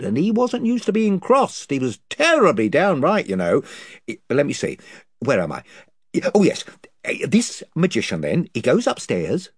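A man's voice: a little high, husky, dry recording, clean studio sound, pitched above the performer's own voice, heavily acted. A man voicing a self-important chief of invisible creatures who rambles and loses his thread. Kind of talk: audiobook character voice.

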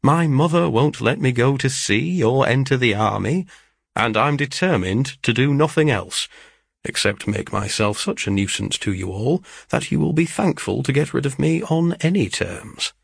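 A cheeky, sulky lad from a classic novel, as a male narrator plays him. He grumbles bluntly in a gravelly, slightly husky voice, recorded dry. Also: mid-range voice, lively intonation, normal speed, dry recording, voice pushed up. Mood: sulky, defiant. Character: sulky, mischievous, cheeky teenage brother. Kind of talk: dramatised audiobook reading of a classic novel.

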